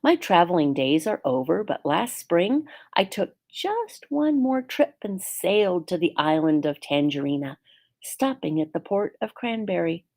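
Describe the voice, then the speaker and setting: Storybook old alley cat, female, woman reader: low-voiced, gravelly edge, well-mannered, worldly storyteller. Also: very animated, measured pace, darkish tone, exaggerated voice. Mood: reminiscing. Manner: courteous, storytelling.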